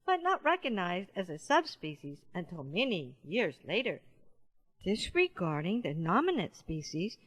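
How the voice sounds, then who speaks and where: A woman voicing a friendly giant panda in a children's audiobook, playful and talking straight to young listeners. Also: medium pitch.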